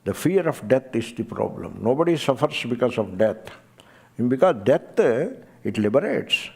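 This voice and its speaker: A man with a gravelly, mid-pitched voice delivers a spiritual discourse. He speaks in a measured, emphatic way and sinks into quiet asides. He slows right down to chant old verses. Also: very animated. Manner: chants scripture verses slowly. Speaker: spiritual teacher.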